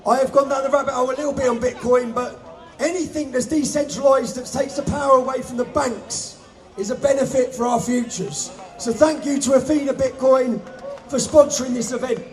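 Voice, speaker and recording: A man shouts a fiery rally speech from a stage, his voice pushed high and strained as he calls out over the crowd. A murmuring crowd fills the outdoor air behind him. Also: fairly even intonation, brisk. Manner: shouting to a crowd, hoarse-edged. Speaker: rally organiser speaking on stage. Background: crowd noise.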